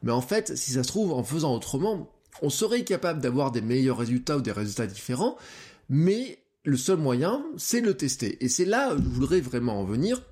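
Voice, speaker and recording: Lively, hesitant podcast host thinking aloud. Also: male voice, mid-range voice, brisk. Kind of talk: solo podcast monologue. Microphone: close podcast microphone.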